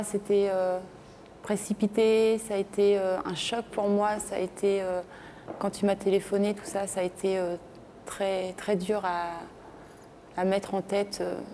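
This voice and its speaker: Flat, mellow-voiced woman, halting. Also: medium pitch, slight background noise. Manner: halting, hesitant, flat delivery. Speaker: guest on a reunion show. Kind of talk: emotional personal address.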